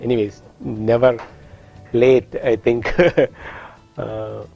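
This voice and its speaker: A man from India with an Indian accent, teaching a course and explaining technical points with frequent pauses and restarts in a classroom. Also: medium pitch, fairly even intonation, creaky, warm tone, muffled recording, soft background music. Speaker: course instructor. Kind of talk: classroom lecture.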